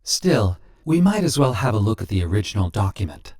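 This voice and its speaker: A man voicing a suave, sinister stranger who collects souls, talking smoothly and businesslike, a little pious and simpering. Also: on the low side, sing-song, a little fast, a little mellow, full character voice. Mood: smooth menacing.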